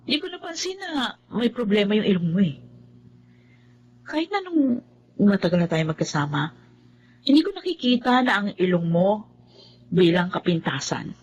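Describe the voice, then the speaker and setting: An actress playing a frank, kind young friend who keeps the peace, speaking earnestly with a Filipino accent in a TV drama. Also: female voice, mid-range voice, very animated, moderate pace, long pauses, muffled recording. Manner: earnest, gently admonishing.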